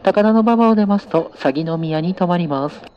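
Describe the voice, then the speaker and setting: A man's voice: sits high, normal speed, muffled recording, a little hiss or hum. A man working as a train conductor, making formal, polite announcements to passengers over the train's PA.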